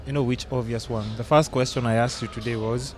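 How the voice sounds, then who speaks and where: A man's voice: medium pitch, steady tone, brisk, a little hiss or hum. A man hosting a podcast in casual chat, a teasing, joking interviewer. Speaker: podcast host.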